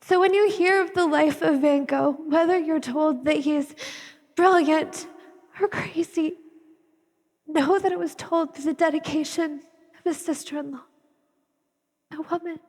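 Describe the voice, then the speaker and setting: A solemn widow, played by a woman on stage speaks with slow, deliberate weight. She is grieving yet determined, declaiming her monologue into the long reverb of a hall. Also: high voice, fairly even intonation, darkish tone. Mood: resolute. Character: grieving determined widow, sister-in-law preserving a painter's legacy. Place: theatre stage.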